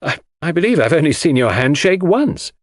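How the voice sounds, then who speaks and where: A man playing a detective's earnest, easily baffled gentleman friend in an audiobook, with an exclamatory way of talking. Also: a little high, big pitch swings, normal speed, pitched above the performer's own voice. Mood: teasing, lively.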